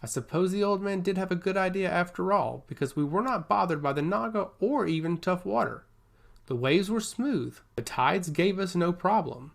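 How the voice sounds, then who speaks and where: A youthful fantasy nobleman acted out by a man reading a story, flattering and sure of himself. His courtly lines swing widely in a fairly high male pitch. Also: moderate pace, vocal fry. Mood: boastful, casual. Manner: courtly, florid phrasing. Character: youthful, forward young nobleman, flattering and self-assured.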